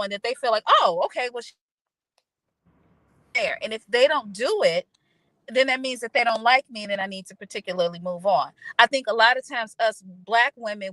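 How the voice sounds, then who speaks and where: A woman with a high, bright, forceful voice talks animatedly on a relationship podcast. Her delivery is lively, and she lilts into a sugary tone when giving examples. The sound is close and dry, with no room behind her. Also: dry recording. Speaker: podcast co-host. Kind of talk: casual chat.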